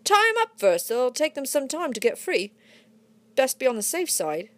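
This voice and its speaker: A woman doing a high, blunt, cautious robber. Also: sing-song, normal speed. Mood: urging.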